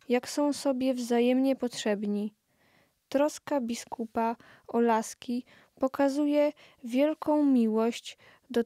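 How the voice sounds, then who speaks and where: A woman's voice: fairly high pitch, a little mellow, no echo, clean studio sound. A woman reading scripted documentary narration for an audio play.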